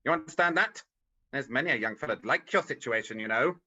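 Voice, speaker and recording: A man's voice: mid-range voice, expressive, fast talker, bright, forceful tone, breathy edge, full character voice. An actor playing a miserly, scornful old businessman in a radio-style drama, curt and sneering, on a dull video call. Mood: irritable. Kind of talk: vintage radio drama acting.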